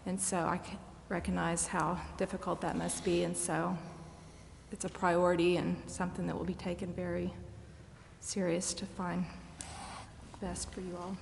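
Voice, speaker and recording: Creaky-voiced American woman in echoey hall. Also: on the low side, level tone, moderate pace. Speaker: city council member. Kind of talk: informal remarks at public meeting. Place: council chamber. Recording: echoey hall, faint background noise.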